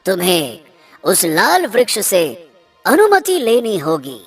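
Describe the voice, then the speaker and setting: Man as a dignified, slow-talking magic sword. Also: sits high, wildly swooping pitch, faint music behind, heavily acted. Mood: commanding.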